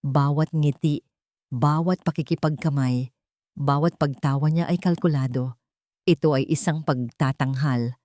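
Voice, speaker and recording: A woman's voice: low voice, steady tone, a little slow, warm tone, dry recording, studio quality. A woman reading a scripted dramatic story as narrator.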